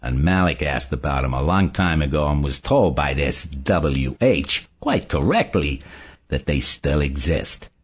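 Husky, deep, animated investigator acted by a man. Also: a little slow, full character voice. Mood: emphatic, triumphant. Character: confident, eager male investigator explaining conspiracy theories. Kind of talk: audiobook character dialogue. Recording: dull lo-fi recording.

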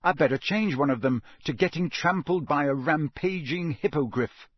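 A man voicing a cheeky, skeptical teenage boy with a loud, blunt, mocking way of talking, in a storybook reading. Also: a little high, normal speed, breathy edge, no echo, clean studio sound. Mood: casual.

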